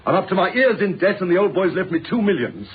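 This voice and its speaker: An indignant British novelist in an old-fashioned radio drama. His voice is high and swoops widely in pitch as he insists, haughtily, on being believed. The recording is narrow and phone-like, with some hiss. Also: very animated, fast talker. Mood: indignant, insistent. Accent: British English. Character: agitated male novelist insisting he is a murderer.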